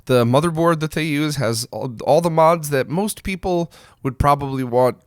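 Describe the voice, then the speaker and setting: A man's voice: on the high side, normal speed, no room sound, studio quality. A man hosting a retro gaming news roundup in an informal, conversational and opinionated way. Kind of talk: casual news roundup commentary.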